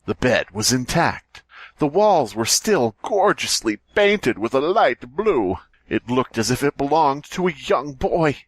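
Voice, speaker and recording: A man reads scary stories for laughs, joking and mock-dramatic as he goes. His medium-pitched voice swings widely, recorded close and dry. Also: sing-song, unhurried, no room sound. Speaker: podcast host. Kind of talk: casual comic read-aloud of horror stories with banter.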